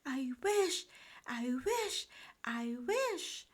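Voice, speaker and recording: A woman voicing an eager, wistful young boy mouse in a storybook, pleading and excitable. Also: high voice, extremely slow, pitched above the performer's own voice, full character voice. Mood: wishful.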